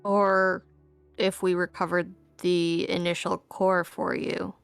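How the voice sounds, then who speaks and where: A woman playing a tabletop role-playing game, talking in a casual, low-key way. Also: level tone, takes it slowly, creaky, smooth, no echo, faint music behind.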